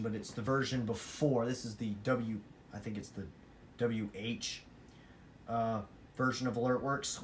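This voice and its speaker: A man with a mid-pitched voice presents his collection in an off-the-cuff hobbyist style. He leans on points by repeating them for emphasis. The amateur recording carries clear ambient noise behind him. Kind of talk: casual unscripted vlog talk.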